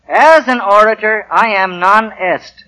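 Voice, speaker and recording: A man's voice: high voice, takes it slowly, pushed and bright, heavily acted, rough recording. A male actor playing an earnest, self-important husband in a 1940s American radio comedy, stammering and fussy, turning declamatory when he makes a speech. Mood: pompous. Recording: vintage 1940 radio broadcast recording.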